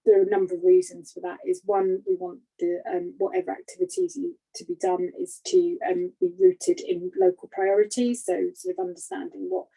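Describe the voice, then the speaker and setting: A woman with a husky voice answering questions as a programme lead on an online call, in an unscripted, professional way. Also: medium pitch, sing-song, brisk, hardly any pauses, mellow, poor audio.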